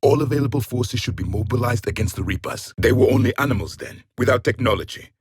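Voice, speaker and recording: A man's voice: medium pitch, fast, darkish tone, breathy edge, lightly acted. A man playing a stern, bitter ancient alien warrior in a video game, speaking in a formal, clipped, disdainful way. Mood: grave, disdainful. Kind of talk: video game combat and dialogue lines.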